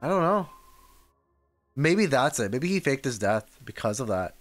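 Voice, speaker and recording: A man casually rambling on a livestream as he reacts to a game, with soft music behind him. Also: mid-range voice, measured pace. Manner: rambling, animated, reads chat aloud.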